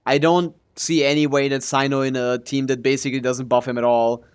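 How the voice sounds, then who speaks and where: A man's voice: mid-range voice, level tone, brisk, clean tone. A man giving casual, offhand live stream commentary on a game, thinking aloud as he answers chat and swearing casually.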